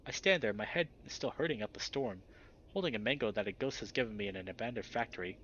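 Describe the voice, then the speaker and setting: A man's voice: on the low side, quick pace, fairly bright tone, highs cut off, slight background noise. A man reading a visual novel aloud expressively and breaking off for casual commentary. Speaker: gaming channel host.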